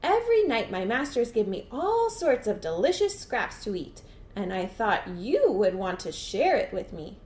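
A woman voicing a bold, sly female dog in a folktale, teasing and fast-talking. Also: mid-range voice, very animated, darkish tone, reverberant, close to an everyday voice. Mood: sweet-talking, pleading. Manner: taunting, sweet-talking, barking.

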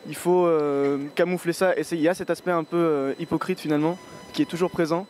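A man's voice: a little high, steady tone, fast, darkish tone, a little hiss or hum. A young man in his twenties giving a thoughtful, hesitant street interview with many fillers, speaking into a handheld mic outdoors in a city square. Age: 20s. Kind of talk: street interview, reflective opinion.

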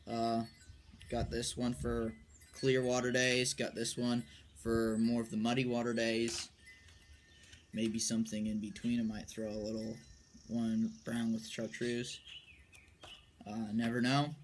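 A young man talks casually and off the cuff, as if showing his gear to a friend. His delivery is slow and halting, with little movement in pitch. He is recorded outdoors on a covered porch, with some background noise around him. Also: monotone. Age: young adult, late teens or early twenties. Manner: halting, low-key, trailing off while handling items. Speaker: amateur angler presenting his tackle. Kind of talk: casual unscripted show-and-tell. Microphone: phone or camera at arm's length.

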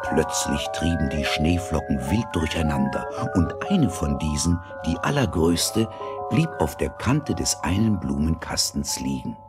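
A man narrating a fairy tale as a measured, expressive storyteller with a deep husky voice. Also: loud music behind the voice. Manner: deliberate, expressive.

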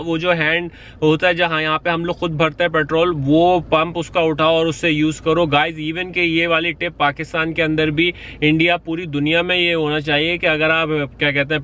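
A man's voice: a little high, pushed and bright. A man in his thirties from Pakistan, a rideshare driver giving earnest, pleading safety tips in a casual vlog, dropping English phrases into his talk, filmed in a parked car on a phone held at arm's length. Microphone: phone or camera at arm's length.

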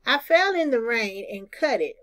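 A woman voicing an eager, naive, excitable little boy in a children's storybook. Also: a little high, moderate pace. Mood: earnest. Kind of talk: children's storybook read-aloud.

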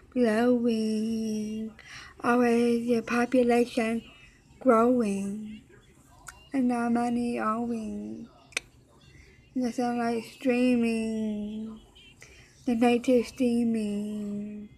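A young woman singing songs loosely and loudly into a close webcam in an office room, shouting and chanting at times. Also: on the high side. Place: indoor office room with desks and computers. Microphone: webcam at close range, with headphones on.

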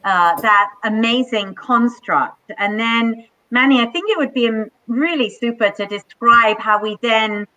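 An older woman hosting a live online panel from a home office, speaking in slow, measured, enthusiastic phrases over a video call. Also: on the high side, bright, forceful tone. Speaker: panel host. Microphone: webcam on a video call. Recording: dull lo-fi video call audio.

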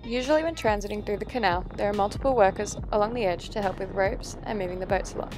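A woman giving scripted voice-over narration for a sailing travel vlog, explaining each step clearly. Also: medium pitch, quick pace, music in the background.

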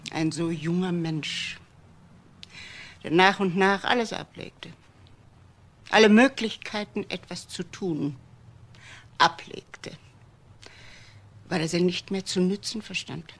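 An older actress playing a wealthy, bitter widowed mother in a German crime radio drama, speaking deliberately and dramatically with pauses. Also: lowish voice. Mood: bitter, lamenting. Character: old wealthy widowed mother, bitter about her son.

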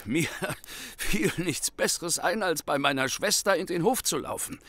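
An actor playing a nervous, desperate young thief in a radio play, anxious, stammering and breathlessly pleading. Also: male voice, a little high, lively intonation, a little slow, fairly bright tone, dry recording. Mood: shaken, confessing. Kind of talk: German radio play acting.

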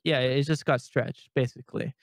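A young man from Canada chatting as a podcast co-host, rambling with many restarts and nervous laughs, with a fairly high-sounding voice. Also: steady tone, fairly quick, gravelly, dry recording, clean studio sound. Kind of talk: casual podcast chat.

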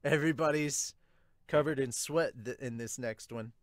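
A man's voice: mid-range voice, normal speed, no room sound. A man in his thirties giving casual reaction commentary as a manga reviewer, informal and exclamatory, breaking off into asides. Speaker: manga reviewer and podcast host. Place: small furnished room at home.

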